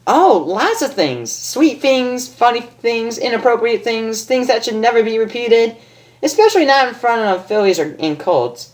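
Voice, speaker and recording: Lady putting on a bubbly girl pony cartoon voice, way high, sing-songy n playful, swoops around a lot. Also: normal speed, lightly acted. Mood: teasing, cheerful. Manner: excitable, sing-song, playful. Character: bubbly, giggly, mischievous female cartoon pony.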